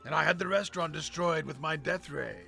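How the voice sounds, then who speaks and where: A man's voice: a little high, a little fast, vocal fry, pushed and bright, soft background music, heavily acted. A man playing a blustering giant gorilla supervillain in a comic radio play, pompous and defensive.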